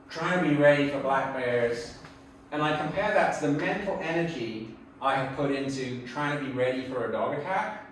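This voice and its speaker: A man's voice: mid-range voice, recorded from a distance. A middle-aged man from England with an English accent gives a conversational slide lecture in an informal, reflective manner. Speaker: speaker giving a public talk. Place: indoor talk venue before an audience. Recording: echoey room from afar, faint noise.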